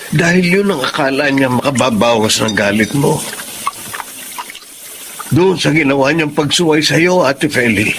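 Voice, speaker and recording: An actor playing a middle-aged, protective, kindhearted uncle with urgent, concerned radio melodrama delivery. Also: male voice, a little high, background noise. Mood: grave, reproachful. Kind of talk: Tagalog radio melodrama acting.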